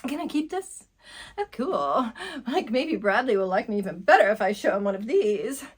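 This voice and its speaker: A woman's voice: a little high, animated, normal speed, husky. A woman playing a spoiled, ditzy teenage girl patient who fills her talk with "like" and giggles. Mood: airy. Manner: valley-girl "like" fillers, giggly, self-important.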